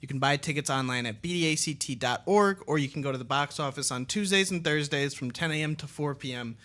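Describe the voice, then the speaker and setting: A man's voice: fairly high pitch, fast talker, a bit bright. A young man from the Milwaukee area hosting a casual radio interview, chatty and rambling with many fillers. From Milwaukee area, Wisconsin. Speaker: radio show host.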